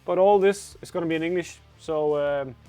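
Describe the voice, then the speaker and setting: A man in his thirties from Denmark, speaking English with a Danish accent as a co-owner of a motorcycle shop, with halting speech full of fillers and laughs. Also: on the high side, warm tone, dry recording, faint music behind. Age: 30s. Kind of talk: casual chat. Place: motorcycle workshop showroom.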